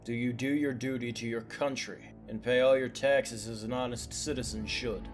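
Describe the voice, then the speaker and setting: A man voicing a composed young gangster leader in an anime fan dub, formal, measured and authoritative. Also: mid-range voice, fairly even intonation, soft background music, natural voice. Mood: stern, composed.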